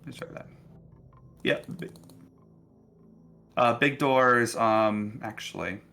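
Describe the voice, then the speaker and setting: A man narrating a tabletop game casually as game master, halting and thinking aloud as he goes. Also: mid-range voice, gravelly, sharp tone, soft background music.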